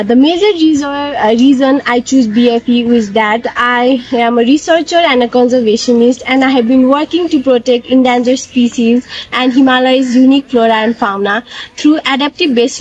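A young woman from Nepal, a master's student, introducing herself in measured, formal phrasing outdoors. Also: a little high. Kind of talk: scripted self-introduction. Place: outdoors by greenery. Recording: slightly dull audio with faint music.

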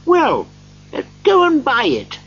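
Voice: mid-range voice, sing-song, takes it slowly, breathy edge, lo-fi sound, voice pushed up, heavily acted. A storyteller playing an elderly former miser who has turned giddy, generous and effusive, in a storybook reading. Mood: giddy, excited. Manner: exuberant, eager, effusive.

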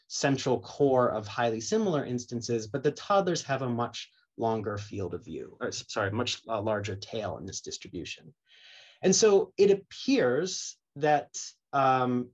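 A man giving a conference keynote over slides by video call, thinking aloud with many fillers and restarts. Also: medium pitch. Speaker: keynote speaker. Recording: dull lo-fi video call audio.

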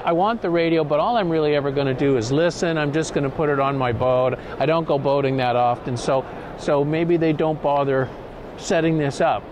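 A middle-aged man in his fifties, interviewing a guest on camera as an editor and host. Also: a little high. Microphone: lapel mic. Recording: clearly noisy event hall background.

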